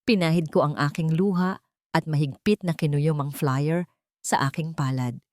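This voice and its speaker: A woman's voice: deep voice, moderate pace, darkish tone, no echo, studio quality. A woman narrating a scripted first-person audio drama in an emotive, theatrical manner.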